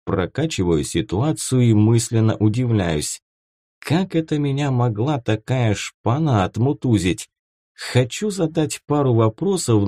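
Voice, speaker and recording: A man narrating first-person fiction from a script as an audiobook, with a dramatic, expressive delivery. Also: lowish voice, no room sound, studio quality.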